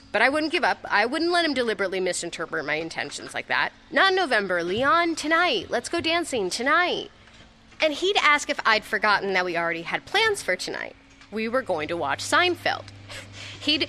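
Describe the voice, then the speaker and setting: A woman's voice: mid-range voice, bright, forceful tone, a little hiss or hum. A young woman playing a flustered, grieving ex-girlfriend who stammers her way through a confessional memorial speech in an audio drama. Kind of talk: audio drama monologue, naturalistic.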